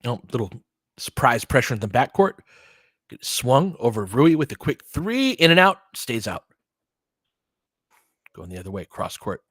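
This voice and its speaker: A man's voice: medium pitch, very animated, normal speed, pauses often, fairly bright tone, slightly rough, no room sound. A man doing live basketball play-by-play in short clipped phrases, with casual, partisan asides like a fan commentator.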